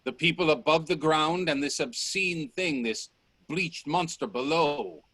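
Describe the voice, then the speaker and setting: Man acting a bold, higher-voiced gentleman explorer. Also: a little slow, dry recording. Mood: grim wonder. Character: bold, inquisitive gentleman explorer leading his companion. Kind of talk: radio play dialogue acting. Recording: faint noise on video-call audio.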